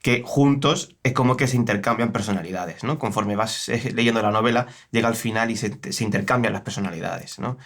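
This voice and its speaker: A man's voice: lowish voice, brisk, few pauses, a bit bright. A man with an accent from Spain chatting casually about books as a co-host, hesitating and repeating phrases for emphasis as he searches for words. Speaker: co-host of a bookshop's book channel. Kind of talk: casual book discussion.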